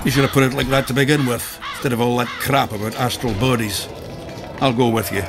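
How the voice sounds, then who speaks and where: An actor playing a boastful, crude, grumbling dwarf warrior in a video game. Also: male voice, mid-range voice, steady tone, brisk, close to an everyday voice. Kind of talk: video game character acting. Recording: clear outdoor ambience noise behind.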